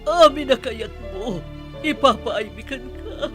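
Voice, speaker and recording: Female actor as anguished mother, high voice, slow and expressive, Ilocano accent, music behind, radio drama. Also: full character voice. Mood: tearful reproach. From Philippines. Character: anguished mother reproaching her wayward son.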